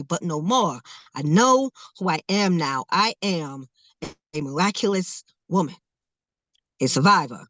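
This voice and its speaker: A woman around forty delivers spoken word in a rhythmic, emphatic cadence, leaning into each line. Her voice sits at an ordinary middle pitch. The recording is dry and close, with little room sound. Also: no room sound. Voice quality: says she stutters, not heard. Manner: rhythmic, emphatic poetry delivery with laughs between phrases. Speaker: guest, spoken word poet. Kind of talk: performed spoken word poem, then casual interview talk.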